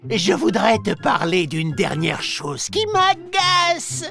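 A woman's voice: mid-range voice, wildly swooping pitch, takes it slowly, gravelly, bright, forceful tone, heavily acted. A woman voicing a boastful, teasing little virus villain who plays to children in an educational cartoon. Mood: sly, playful. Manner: teasing, theatrical, exaggerated. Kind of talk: animated educational narration for children. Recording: music behind the voice.

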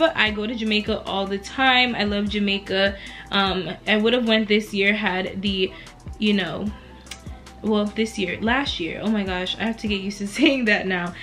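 A young woman in her twenties talks casually to her viewers from her bed, answering questions in a relaxed, chatty way with frequent laughs. Her voice sits at an ordinary middle pitch. Soft music plays faintly behind her. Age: 20s. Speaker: beauty and lifestyle YouTuber. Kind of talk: casual Q&A vlog. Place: lying back on a bed at home, indoors.

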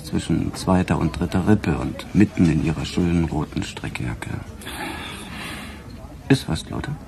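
Gravelly, deep, sardonic inspector. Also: male voice, a crowd in the background. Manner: ironic, deliberate storytelling. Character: sardonic, relentless male police inspector. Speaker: actor in a radio crime play. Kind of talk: German radio drama dialogue.

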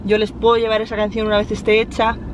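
A woman's voice: medium pitch, completely flat delivery, fast. A young woman in her twenties with a Spanish accent from Spain, chatting bluntly and with animation in a vlog filmed inside a car, using slangy asides. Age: 20s. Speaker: YouTuber. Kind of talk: casual vlog chat. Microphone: camera mounted on the dashboard. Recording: dull audio with loud car noise.

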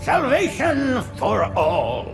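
An actor playing a pious, grandiose alien prophet who sermonizes floridly in a game cinematic. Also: male voice, very high voice, huge pitch swings, slow pace, gravelly, heavily acted. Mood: reverent, grandiose. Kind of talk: video game cinematic acting. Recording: cinematic music behind.

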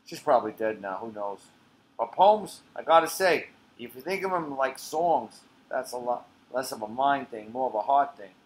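A man acts out a streetwise old man at a bar in a stage monologue. He is weary and blunt, talking in clipped, conversational bursts with plenty of swearing, at a middling pitch. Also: expressive, normal speed, lightly acted, poor audio. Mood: reflective. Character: tired, profane, streetwise old male barfly rambling to strangers. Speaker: playwright reading his own play. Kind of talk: dramatic monologue reading.

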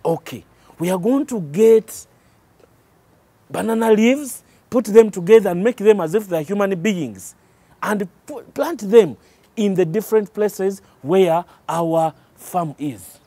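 A steady, practical farmer father laying out his plan in a measured voice, as a male storyteller puts him on. The voice sits a little lower than the narration and has lively rises, and it is close and dry. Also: dry recording, exaggerated voice.

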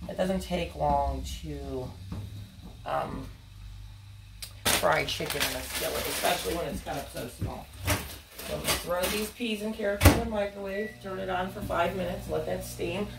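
A woman's voice: lowish voice, normal speed, heavy background noise. A woman in her thirties chatting casually as she cooks at home, rambling with lots of ums and little apologies, recorded on a camera angled down at her stove in a home kitchen. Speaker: home cooking vlogger. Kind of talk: casual cook-along chat. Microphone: fixed camera angled down at the stove. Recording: clear frying sizzle in a home kitchen. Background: frying sizzle and stirring.

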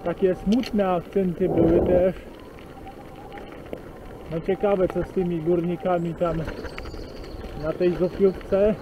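A man with a Silesian accent chatting casually and slowly while cycling outdoors on country roads, recorded on a bike camera. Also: high-pitched, highs cut off, noisy background. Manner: slow, rambling, relaxed chat with pauses. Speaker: cycling vlogger. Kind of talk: casual spoken commentary while riding. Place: outdoors on a bike on rural roads and forest tracks.

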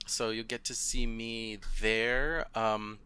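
A man's voice: on the low side, flat delivery, slow pace, sharp tone, smooth. A man in his thirties, a guest on a podcast, chatting casually over a video call, rambling with lots of ums and frequent laughs. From United States. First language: Spanish. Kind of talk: casual interview chat.

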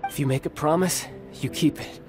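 An actor playing a cocky, devoted young warrior and big brother in a video game, speaking with smooth, theatrical confidence. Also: male voice, fairly high pitch, a little fast, lightly acted. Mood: proud, reflective. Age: young adult. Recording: background music over studio voice. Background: loud game music.

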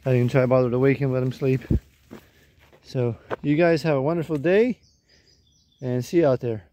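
A man's voice: medium pitch, fairly even intonation, brisk, warm tone. A man in his forties vlogging casually from a wooded mountain trail, phone held at arm's length, talking easily. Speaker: thru-hiker vlogger. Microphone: phone camera at arm's length.

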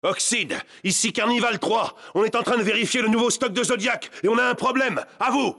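Tense male soldier, very high-pitched, sharp, clipped military radio report, video game. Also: fairly quick, exaggerated voice. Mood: businesslike, tense. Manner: clipped, forceful.

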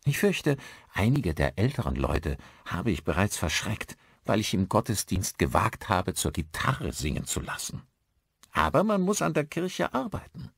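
Man voicing a chatty, lively village pastor. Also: lowish voice, slightly rough, dry recording, studio quality, exaggerated voice. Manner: courteous, talkative, animated. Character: friendly, chatty village pastor, male, married.

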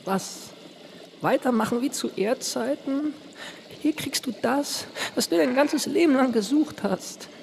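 A man's voice: very high voice, unhurried, gravelly, exaggerated voice. A man playing a casual, wooing devil in a German radio play, with a coaxing manner. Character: casual, wooing devil showing off hell's garden. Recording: faint background noise, bleating sheep.

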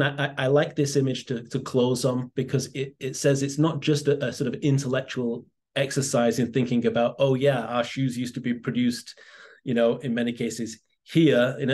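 A man lecturing over a video call from a home office. He speaks in an even, flat tone, stopping often for ums and restarts as he works through his points. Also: mid-range voice. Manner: hesitant, many fillers and restarts. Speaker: presenter. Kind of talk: academic webinar talk.

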